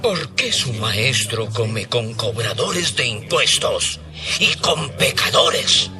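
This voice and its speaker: Audio Bible drama role: male actor as a disapproving Pharisee, accusing, drawn-out delivery, mid-pitched, music underneath. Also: very animated.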